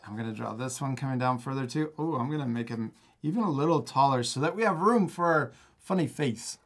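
A man's voice: medium pitch, expressive, fairly quick. A man in his thirties hosts a children's drawing lesson. He talks casually, step by step, with an encouraging manner and frequent chuckles. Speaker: drawing instructor and host. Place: indoor studio, at a table.

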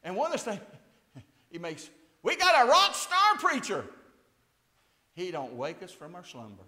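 Older man, preacher, high-pitched voice, very lively delivery, echoey church sanctuary. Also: a little slow. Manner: preaching with big swings from hushed to shouted. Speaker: pastor. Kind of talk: fiery sermon. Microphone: lapel mic, far camera.

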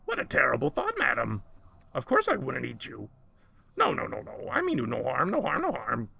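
A man's voice: medium pitch, huge pitch swings, moderate pace, vocal fry, crowd noise, exaggerated voice. A man voicing a small, frantic, lovesick monster in a storybook, pleading and repeating words. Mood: anxious, reassuring.

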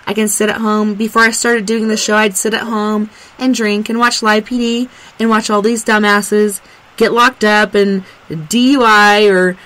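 Woman, radio host, from Arkansas, casual rambling chat, mid-pitched voice. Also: level tone, normal speed, a bit bright, poor audio. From Arkansas, USA. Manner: rambling, lots of "like" and "um".

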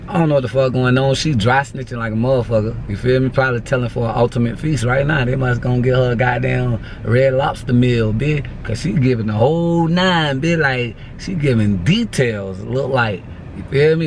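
A man in his thirties, hoarse from shouting, talking loosely to a handheld phone camera in his apartment and car, with lots of slang and "you feel me". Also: medium pitch, a little hiss or hum. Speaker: family vlogger. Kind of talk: casual vlog talk to camera. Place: apartment hallway indoors, then parked car. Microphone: handheld phone camera at arm's length.

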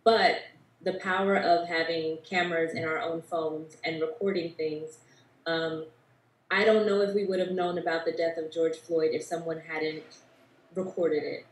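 A woman's voice: low voice, fairly even intonation, moderate pace. A woman in her thirties talking thoughtfully in a panel discussion over a video call. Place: grey-walled room at home. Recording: roomy, distant video-call sound.

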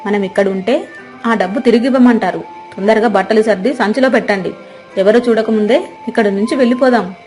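A woman's voice: mid-range voice, brisk, a little mellow, faint music behind, natural voice. A woman voicing a greedy, scheming female relative in a moral story, urgent and conspiratorial. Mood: urgent, sly.

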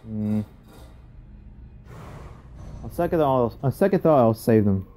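A man talks through a horror video game as he plays, thinking aloud in quiet asides. His voice is mellow and mid-pitched, with a faint hiss behind it. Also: lively intonation, measured pace. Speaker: gaming channel host. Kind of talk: casual let's play commentary.

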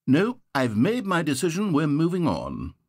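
A smug, scheming British narrator from a video game, his husky voice leaping from low mutters to high exclamations. The recording is close and completely dry, with no room around it. He talks theatrically, as if to an audience he is trying to win over. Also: huge pitch swings, moderate pace, no room sound, full character voice. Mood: musing. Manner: theatrical, swinging wildly in pitch. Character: smug, scheming male narrator, middle-aged.

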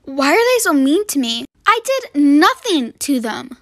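A woman's voice: high voice, sing-song, unhurried, fairly bright tone, dry recording, clean studio sound, full character voice. A woman voicing an anxious, earnest young camper girl in an amateur doll roleplay, pleading and emphatic. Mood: hurt, pleading.

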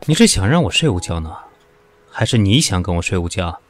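A man acting in an audio drama as a cool, sharp-tongued professional who is weary and dismissive of his pushy lover. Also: low-pitched, big pitch swings, fairly quick, faint music behind. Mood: dry, teasing.

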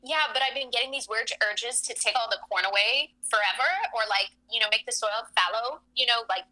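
A woman's voice: fairly high pitch, a little fast, bright, forceful tone, rough recording. A woman playing a vapid, breezy, gossipy young socialite in a comedy radio play. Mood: blasé gossip. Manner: gossipy, blasé, filler-heavy.

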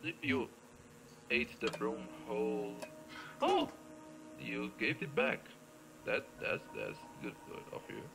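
A man gives casual commentary while he plays an adventure game, with a very gravelly, fry-heavy voice that leaps around in pitch. He speaks slowly, in short, hesitant questions to himself and playful asides to the characters. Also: huge pitch swings, rough recording. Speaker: gamer playing a point-and-click adventure. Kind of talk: casual let's play commentary. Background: game music.

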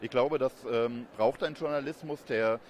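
A man in his thirties giving measured, explanatory answers as an interviewed academic expert. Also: medium pitch, a little mellow, slight background noise. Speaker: interviewed expert, communication professor. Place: busy event courtyard in daylight. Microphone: handheld reporter microphone held close. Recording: outdoor urban ambience behind voice.

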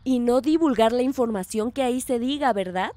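A woman's voice: on the high side, fairly quick, warm tone, dry recording, natural voice. An actress playing a determined, inquisitive young woman in a radio drama, earnest in manner. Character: determined, earnest teenage daughter and young community interviewer.

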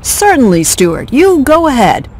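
An actor playing a harried, earnest city police officer in a video game. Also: male voice, extremely high pitch, wildly swooping pitch, a little slow, a little hiss or hum, full character voice. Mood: grateful. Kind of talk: video game cutscene voice acting.

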